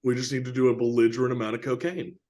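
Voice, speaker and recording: A man's voice: mid-range voice, level tone, very fast, a little mellow. A man co-hosting a casual film discussion, very informal and slangy, leaning often on filler words. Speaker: film podcast co-host.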